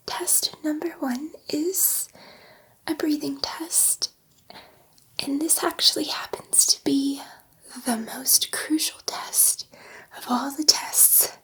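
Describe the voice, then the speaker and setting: Casting: gentle female alien examiner played by a woman for ASMR roleplay; high, whispered, reassuring, excitable. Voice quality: soft breathy whisper. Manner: soothing, slow, repeating words reassuringly. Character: gentle, excitable female alien scientist soothing an abducted human.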